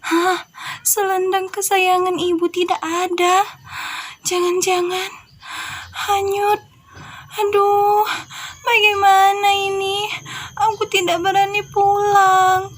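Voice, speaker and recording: A woman playing a tearful, gentle young girl. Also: very high voice, flat delivery, slow, long pauses, voice pushed up. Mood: panicked. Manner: tearful, pleading.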